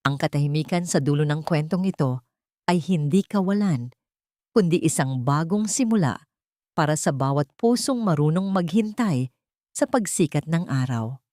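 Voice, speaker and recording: Low-pitched Filipino woman narrating drama. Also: expressive, moderate pace, warm tone, dry recording, studio quality. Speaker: storyteller, audiobook narrator. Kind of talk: dramatic scripted narration of a sentimental story.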